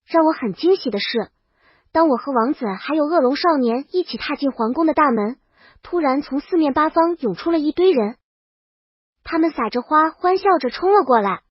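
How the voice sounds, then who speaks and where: A woman's voice: high voice, expressive, moderate pace, no room sound, muffled recording. A woman reading a scripted first-person comic story as a princess, with dramatic, wry delivery. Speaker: narrator.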